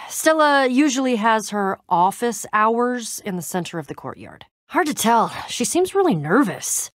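A woman's voice: on the high side, no room sound, studio quality. An actress playing a tough, guarded young woman adventurer in a video game, speaking casually with wry exasperation and hesitant trailing-off. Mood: wary.

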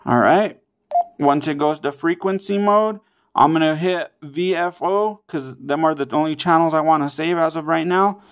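A man's voice: a little high, normal speed. A man presenting a casual step-by-step how-to, often checking in with okay and all right. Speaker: tutorial presenter.

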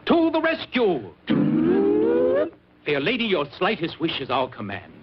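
An actor playing a gallant, earnest knight in a 1950s cartoon commercial, with theatrical mock chivalry. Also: male voice, fairly high pitch, wildly swooping pitch, measured pace. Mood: gallant alarm. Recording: muffled, hissy old TV film soundtrack.

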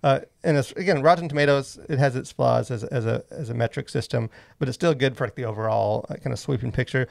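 A man's voice: mid-range voice, fast talker, gravelly, few pauses. A man hosting a casual podcast, rambling with many asides into a close studio mic. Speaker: podcast host and movie reviewer. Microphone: close boom-arm studio mic.

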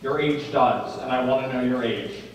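A man's voice: mid-range voice, normal speed, lightly acted. A man playing a curt, commanding audition director who gives orders in a stage musical. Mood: brusque. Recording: echoey theatre, distant mic, faint noise.

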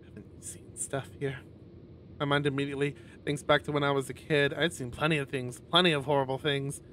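A man reading a visual novel aloud on a stream in an expressive narrating style. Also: on the high side, quick pace, slight background noise.

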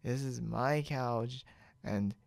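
A man playing a stubborn, boastful, confused drunk young man in an ASMR roleplay, halting and rambling with mumbled, repeated words. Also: medium pitch, dry recording. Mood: sleepy, needy. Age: young adult. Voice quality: gravelly, creaky.

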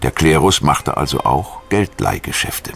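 A man narrates a documentary in an extremely low, creaky voice. His measured, formal reading moves with lively intonation, and music plays underneath. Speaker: documentary narrator and voice-over translator. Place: studio voice-over.

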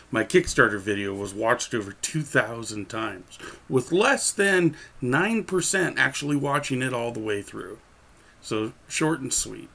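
A middle-aged man with a noticeably creaky, gravelly voice at an ordinary pitch. He speaks straight to the camera, presenting a project in the manner of a game designer making a promotional pitch. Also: fairly bright tone. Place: small room at home, indoors.

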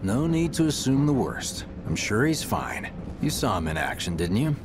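A man's voice: sing-song, moderate pace, close to an everyday voice. A man voicing an easygoing, reassuring resistance fighter in a video game, casual and good-natured. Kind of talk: cinematic game dialogue. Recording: loud rumbling train-yard noise behind.

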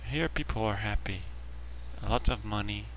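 A man's voice: on the low side, normal speed, a little hiss or hum, low-quality recording. A man giving casual let's play commentary, talking to himself in short phrases as he plays. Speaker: game streamer. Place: behind: game music.

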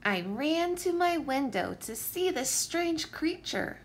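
A woman reads a children's story aloud in a high voice. Her delivery is unhurried and deliberate, with big swoops in pitch. She phrases it clearly, as a teacher does for young listeners. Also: big pitch swings, slight background noise. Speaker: teacher reading a picture book aloud.

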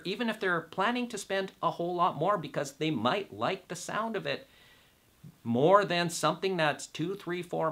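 A man in his fifties presents a product review straight to camera. His voice is bright and forceful, at a middling pitch. He stresses key words firmly and leaves deliberate pauses between phrases. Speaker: host and audio reviewer. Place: indoor room set with records on the wall.

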